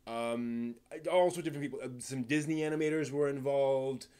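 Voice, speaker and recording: An American man hosts a casual live stream in a mid-pitched voice. He talks in a halting, rambling way, thinking aloud as he responds to viewers. The delivery is conversational and unscripted. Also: steady tone, dark tone, clean tone. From East Coast of the United States. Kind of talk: casual livestream chat, answering viewer questions.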